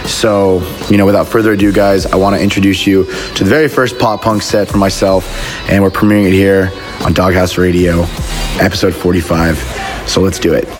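Man, radio DJ, low even voice, informal show intro, music behind. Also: brisk. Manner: informal, addresses listeners directly.

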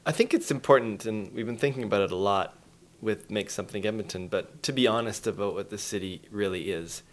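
A man with a fairly low voice speaks as an interview guest. His style is casual and reflective. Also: brisk.

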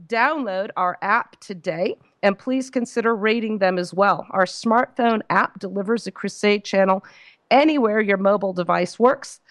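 A woman hosting a call-in radio talk show, slightly hoarse, chatty with frequent fillers while reading announcements. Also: mid-range voice, no room sound. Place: radio studio. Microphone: studio microphone.

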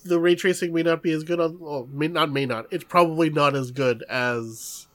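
A man co-hosting a casual gaming podcast, relaxed and hedging as he talks. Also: a little high, normal speed. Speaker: podcast co-host.

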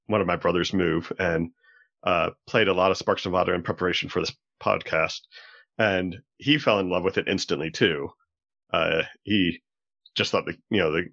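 A man talking casually as a podcast guest, halting and pausing mid-thought as he goes. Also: deep voice, a bit bright. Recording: dull lo-fi audio.

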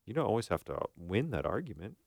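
A man's voice: lowish voice, big pitch swings, fast talker, gravelly, no echo, clean studio sound. A man co-hosting a conversational podcast, explaining things in an informal, illustrative way. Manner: explains through analogies and acted-out quotes. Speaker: podcast co-host and therapist.

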